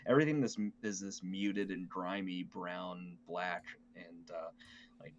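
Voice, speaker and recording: A man in a casual game discussion, speaking haltingly as if thinking aloud, on a lo-fi recording. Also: deep voice, fairly even intonation. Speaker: podcast host. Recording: dull lo-fi mic, clear noise.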